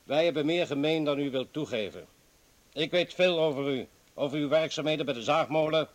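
A male actor plays an earnest comrade in a radio drama. His voice is slightly high. He is placating yet insistent, reasoning and pleading like a man who badly needs to persuade. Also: fairly quick, lightly acted. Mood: persuasive. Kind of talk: dramatic radio play acting.

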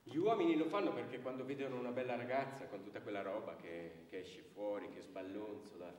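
A man's voice: on the low side, brisk, darkish tone, close to an everyday voice. An actor playing an insecure, bitter, crude working man in a stage monologue, agitated and repetitive as he justifies himself. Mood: smug, justifying. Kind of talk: theatrical monologue acting. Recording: echoey hall, distant microphone.

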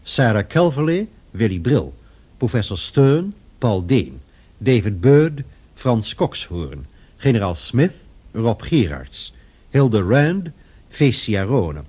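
A man announcing radio drama credits in a formal, measured style. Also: mid-range voice, sing-song, a little mellow, breathy edge.

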